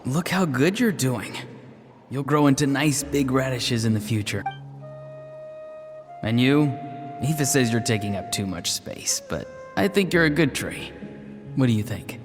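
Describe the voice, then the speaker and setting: A gentle, composed young hermit from a video game, voiced by a man. His voice sits at a middle pitch and stays polite. It carries long reverb over clear background music. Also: expressive, unhurried, pauses often, close to an everyday voice.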